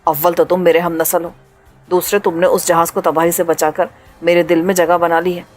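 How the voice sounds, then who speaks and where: A woman's voice: on the low side, soft background music, pitched below the performer's own voice, close to an everyday voice. Woman voicing a mocking ruthless pirate chief. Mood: cold mocking. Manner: mocking, calm, menacing. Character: cold ruthless drunk male pirate leader.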